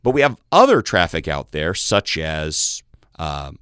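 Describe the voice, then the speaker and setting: A man with a deep bass voice explains technology on a radio talk show, his pitch moving a lot as he works through plain analogies. The studio sound is dry and close. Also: very animated, a little slow, a bit bright, clean tone, no room sound. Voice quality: very low bass voice. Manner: explains patiently with everyday analogies. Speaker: tech expert guest. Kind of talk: radio interview.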